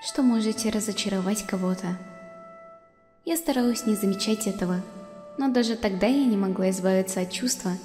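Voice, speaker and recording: Woman voicing a gentle ghostly mother in long reverb. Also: medium pitch, brisk, natural voice. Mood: caring, earnest. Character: gentle motherly ghost of a dead skeleton monster. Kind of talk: acted comic dub. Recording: long echoing reverb under music.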